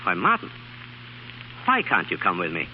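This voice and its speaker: Animated, fatherly old-radio professor. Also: low-pitched, normal speed. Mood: dismayed, scornful. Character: older male research scientist and professor, earnest, fatherly, scornful of practice. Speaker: radio actor. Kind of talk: 1930s radio drama acting. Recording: muffled, hissy 1930s radio broadcast.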